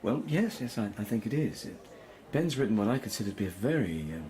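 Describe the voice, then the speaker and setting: A man's voice: lowish voice, expressive, fast talker, mellow, a little hiss or hum, natural voice. An actor playing a kindly male schoolteacher with a hesitant, polite, formal way of talking in a naturalistic audio drama. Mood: awkward, polite. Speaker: school teacher.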